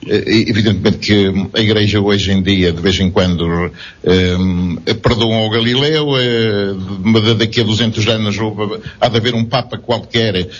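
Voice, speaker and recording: Man, low husky voice, hesitant, repeats words, dull lo-fi recording. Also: steady tone, normal speed. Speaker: audience member asking a question. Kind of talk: public debate intervention.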